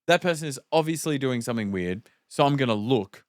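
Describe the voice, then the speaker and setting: A man co-hosting a podcast, musing wryly in relaxed, casual conversation. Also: mid-range voice, fairly quick, no room sound, studio quality. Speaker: podcast co-host. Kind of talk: casual chat.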